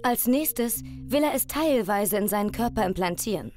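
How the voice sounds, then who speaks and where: A woman's voice: mid-range voice, expressive, normal speed, a little mellow. Woman narrating a scripted report over music. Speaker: documentary narrator.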